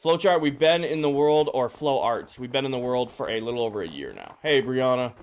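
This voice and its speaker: A man streaming a game, his voice slightly hoarse and drowsy, casually greeting viewers and answering chat questions. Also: mid-range voice, lively intonation, brisk. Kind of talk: casual live-stream chat while playing.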